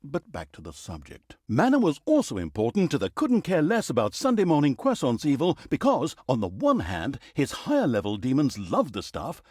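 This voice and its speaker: A man's voice: mid-range voice, huge pitch swings, moderate pace, hardly any pauses, darkish tone, husky, dry recording, clean studio sound. A man playing a sardonic, vain storyteller who narrates a comic fantasy game saga in a wry, mock-grand theatrical manner. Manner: wry, mock-grand, dramatic emphasis and asides.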